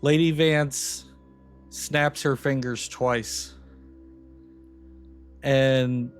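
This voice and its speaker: A man running a tabletop game, talking casually in a mid-range voice with faint music behind him. He pauses and restarts often. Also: mid-range voice, steady tone. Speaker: game master.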